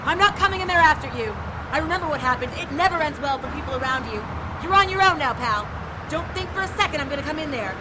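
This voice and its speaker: Audio drama policewoman: high, very animated, sarcastic and commanding, exasperated temper. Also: quick pace, background noise. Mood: angry, worried. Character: exasperated, sarcastic female police detective, bossy.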